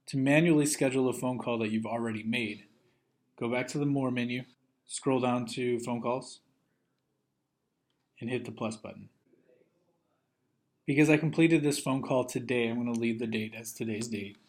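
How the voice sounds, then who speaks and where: A man giving step-by-step instructional narration as a software trainer, in a methodical way. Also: medium pitch, fairly even intonation, moderate pace, long pauses.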